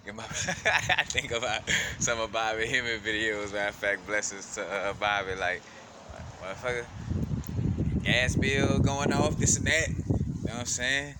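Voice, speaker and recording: Deep-voiced man rambling over loud noise. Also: steady tone, normal speed. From Louisiana. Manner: loose, filler-heavy, laid-back slang. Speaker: spiritual vlogger. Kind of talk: casual rambling monologue.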